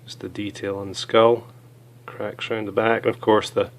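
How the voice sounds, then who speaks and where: A hoarse man with a cold, chatting casually as he reviews small gear items, with many fillers. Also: lowish voice, little pitch movement, moderate pace.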